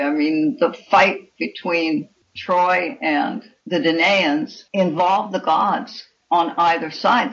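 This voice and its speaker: A woman's voice: fairly low pitch, gravelly. A woman author giving discursive, erudite, explanatory answers as a guest in an interview. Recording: dull lo-fi audio.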